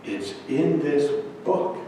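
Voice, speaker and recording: Man as gentle old woman, slow and hushed, distant in a very reverberant hall. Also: animated. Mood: calm, kindly. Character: gentle old woman offering a secret, calm. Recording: echoey hall from far off, rumbly noise.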